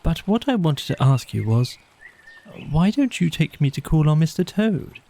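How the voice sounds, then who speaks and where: Man as a polite, mellow little mole. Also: a little high, big pitch swings, moderate pace, vocal fry, pitched above the performer's own voice. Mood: eager, earnest. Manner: earnest, soothing, agreeable. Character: polite, candid, cautious little male mole. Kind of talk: storybook character voice in an audio play.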